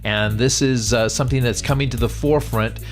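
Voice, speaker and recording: A man with an average-pitched voice moderates a radio show. He speaks conversationally and a little hesitantly, linking topics as he goes. There is faint music. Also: steady tone, fairly quick.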